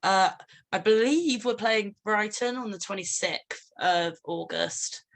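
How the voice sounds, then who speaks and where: A woman's voice: mid-range voice, slow. A woman speaking as an interview guest, chatting in a breezy, jokey and informal way. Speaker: interview guest, band singer. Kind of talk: casual chat.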